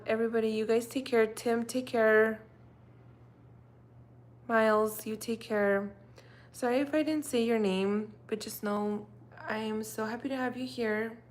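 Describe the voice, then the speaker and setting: A young woman, a native Russian speaker, chatting casually on a livestream, rambling with lots of fillers. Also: on the high side. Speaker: livestream host. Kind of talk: casual livestream chat with viewers. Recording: faint background noise.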